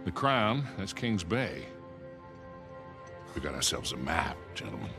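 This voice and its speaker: Veteran rogue, low voice swinging wide, sly, score up front. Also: male voice, big pitch swings, a little slow. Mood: teasing. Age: older. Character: gruff older male mentor, cigar-chewing veteran rogue. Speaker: adventurer. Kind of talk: video game cutscene acting. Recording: game audio with clear music behind.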